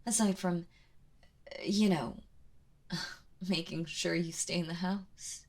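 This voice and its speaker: A woman playing a kind but obsessive, lovesick yandere captor in an intimate ASMR audio roleplay, speaking softly and hesitantly in a pleading, breathy way. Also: fairly low pitch, steady tone, takes it slowly. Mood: gentle, earnest. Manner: hesitant, pleading, soft-spoken with breathy drops into whispers.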